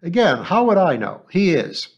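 A man's voice: very animated, moderate pace, breathy edge, muffled recording, heavily acted. A male audiobook narrator voicing a shrewd, anxious old woman shopkeeper who complains and dodges questions. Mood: alarmed. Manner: shrill, complaining, evasive.

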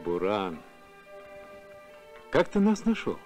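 Noble cartoon space captain, slow, very swoopy intonation, reverb. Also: male voice, fairly low pitch, wildly swooping pitch, a little mellow, full character voice. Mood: glad. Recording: long echo, faint music.

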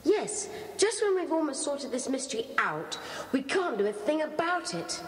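A woman's voice: high-pitched, sing-song, moderate pace. A woman playing an eager, plucky schoolboy in a children's audio drama. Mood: concerned. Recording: echoey cellar reverb, old cassette.

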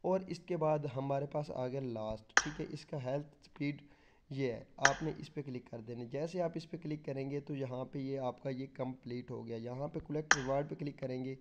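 A man's voice: medium pitch, moderate pace, highs cut off, heavy background noise. A man chatting casually through a game walkthrough, repeating simple steps. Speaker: gaming YouTuber.

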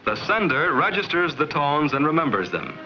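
An American man reading scripted 1950s promotional film narration in a measured, explanatory, clearly enunciated way. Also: fairly high pitch, very animated, faint music behind. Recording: dull vintage film soundtrack.

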